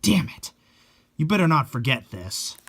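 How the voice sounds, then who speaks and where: Performer acting as forceful, accusing young fan-club thug. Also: medium pitch, big pitch swings, measured pace, slightly rough, heavily acted. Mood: menacing. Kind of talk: dramatic reading of a horror manga.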